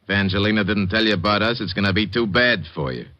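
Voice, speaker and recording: A dull, lo-fi vintage radio recording of a man acting a gangster boss. He speaks low and curt, giving orders with cool, threatening control. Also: fast talker, close to an everyday voice. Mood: coolly threatening. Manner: curt, commanding, coolly threatening. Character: curt, bossy male gangster collector, leader of thug pair. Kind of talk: old-time radio crime drama acting.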